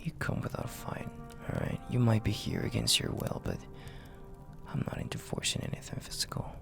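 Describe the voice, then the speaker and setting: A man playing a dominant, flirty and possessive mafia boss in an intimate audio roleplay, speaking in a gravelly, breathy half-whisper with a commanding, teasing manner. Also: on the low side, wildly swooping pitch, music in the background, heavily acted. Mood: stern, commanding. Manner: slow, commanding, teasing, with drawn-out pauses.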